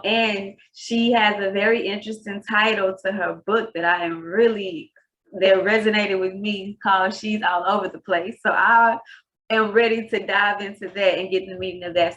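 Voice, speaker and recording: A woman's voice: mid-range voice, steady tone, normal speed, fairly bright tone, rough recording. Casual woman hosting an interview. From Texas, USA. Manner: casual, rambling, laughs easily. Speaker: podcast host.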